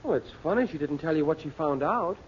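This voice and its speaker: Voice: medium pitch, lively intonation, a little fast, slight background noise, lightly acted. A performer playing an eager young boy, a nephew in an old-time radio serial. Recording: dull vintage radio recording.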